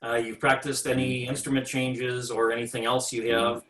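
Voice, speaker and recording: A man's voice: medium pitch, flat delivery, moderate pace, a bit bright, reverberant, far from the mic, poor audio. A man moderating an online convention panel, steering the discussion in an orderly way.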